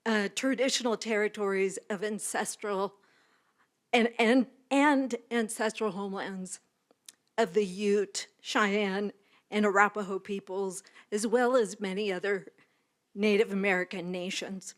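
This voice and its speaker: A woman professor giving a formal land acknowledgement at a graduation ceremony, in a measured, earnest delivery that is partly read aloud. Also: medium pitch, steady tone.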